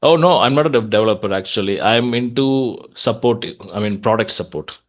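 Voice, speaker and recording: A man's voice: on the low side, fairly quick, muffled recording. A man with an Indian accent chatting casually as an English practice coach, giving feedback and advice in an encouraging way.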